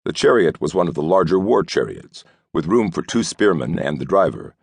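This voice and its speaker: A man narrating an audiobook novel in a scripted storytelling style, with a gravelly voice. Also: sits low, sing-song, moderate pace, no room sound.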